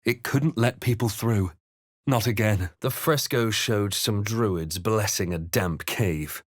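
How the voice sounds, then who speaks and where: A man voicing a composed, observant, brooding adventurer in a fantasy video game, with measured, theatrical delivery. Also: on the low side, dry recording, clean studio sound. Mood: solemn, watchful. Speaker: video game player-character voice. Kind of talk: dramatic first-person narration and character barks.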